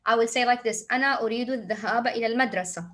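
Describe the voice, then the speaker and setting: A woman's voice: on the high side, racing, fairly bright tone. A woman with a Saudi Arabic accent, a native Arabic speaker, explaining a lesson to her students and often asking rhetorical questions. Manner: repeats phrases, asks rhetorical questions, switches to Arabic. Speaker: teacher.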